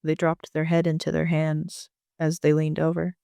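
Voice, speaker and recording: A man's voice: a little high, completely flat delivery, normal speed, vocal fry, dark tone, clean tone, dry recording, studio quality. A man reading scripted first-person story narration as an amateur author.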